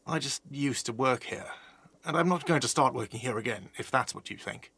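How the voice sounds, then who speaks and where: An actor playing an irritable, guarded cafeteria manager in a video game, curt and sardonic. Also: male voice, medium pitch, animated, fairly quick, pushed and bright, slightly rough, no echo, heavily acted. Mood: curt, impatient. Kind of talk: game voice acting. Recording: music behind the voice. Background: game music.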